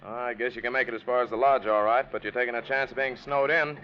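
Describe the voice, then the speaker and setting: An actor playing an easygoing road ranger in an old radio drama, folksy and matter-of-fact. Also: male voice, mid-range voice, fairly even intonation, quick pace, close to an everyday voice. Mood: easygoing, official. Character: easygoing male road ranger at a snowy checkpoint. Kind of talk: 1940s radio acting. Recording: dull, faintly noisy old radio recording.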